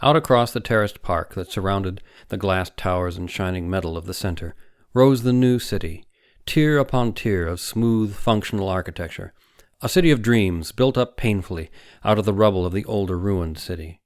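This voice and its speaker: Male audiobook narrator, lower register, dramatic delivery of scripted fiction. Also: moderate pace, dry recording, clean studio sound.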